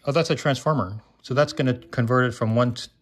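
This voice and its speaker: A man's voice: mid-range voice, fast talker. A man giving casual hands-on commentary, thinking aloud and explaining as he works on electronics. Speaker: host taking apart electronics. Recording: noisy hobby recording, clicks and handling.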